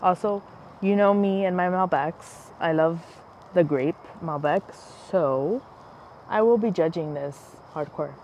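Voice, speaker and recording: A woman's voice: on the low side, measured pace, darkish tone, dull audio. Chatty woman podcast host, lots of fillers. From United States. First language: Spanish. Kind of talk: casual wine podcast chat. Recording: faint background noise, passing airplane.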